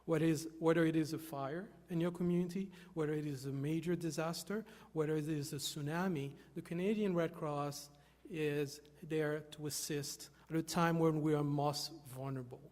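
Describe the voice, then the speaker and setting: A man from Haiti, giving an earnest, halting stage talk with many fillers. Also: fairly high pitch, steady tone, darkish tone. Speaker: volunteer speaker. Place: hall with an audience. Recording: long reverb of a large hall.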